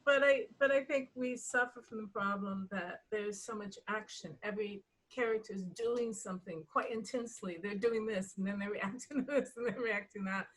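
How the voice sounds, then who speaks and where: A woman being interviewed as a writer and director, speaking reflectively and haltingly, rephrasing as she goes and often breaking into laughter. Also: medium pitch, fairly even intonation, a bit bright, rough recording. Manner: laughs readily while talking.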